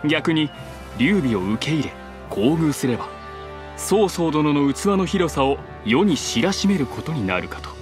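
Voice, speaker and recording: An actor playing an earnest young military strategist in a video game, giving polite, formal, reasoned counsel. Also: male voice, on the high side, very animated, unhurried, music in the background. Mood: calm, advising. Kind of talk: Japanese video game cutscene acting.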